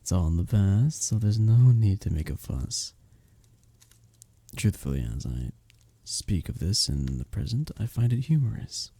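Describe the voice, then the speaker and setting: Man acting a husky, lively medieval innkeeper. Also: on the low side, unhurried, no echo, full character voice. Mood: wry storytelling. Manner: old-fashioned formal wording, teasing storyteller. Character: flirty, good-humoured male innkeeper of a medieval fantasy village. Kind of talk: scripted roleplay audio acting, intimate ASMR.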